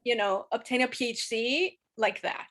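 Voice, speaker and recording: High-voiced Chilean-born woman, candid guest. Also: moderate pace, a crowd in the background. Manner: talkative, candid, frequent restarts and "you know". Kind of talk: casual interview conversation.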